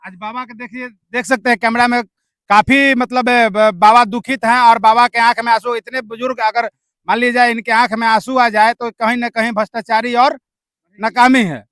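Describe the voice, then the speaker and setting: High, bright-voiced Bihari man reporting. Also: flat delivery, moderate pace, dry recording. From India. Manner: repetitive, searching phrasing with filler words. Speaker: field news reporter. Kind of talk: on-location interview and commentary to camera.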